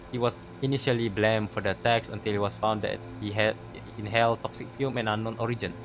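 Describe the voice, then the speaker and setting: A man's voice: fairly low pitch, noisy background. A man doing casual let's play commentary, stumbling as he reads text aloud and swearing freely. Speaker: gaming video host.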